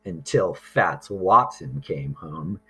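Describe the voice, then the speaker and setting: Guy reading a kids picture book out loud, real slow, bit raspy, stretches words out for effect. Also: sits low, lively intonation. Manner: deliberate, with drawn-out words and dramatic pauses. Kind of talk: expressive read-aloud for young children.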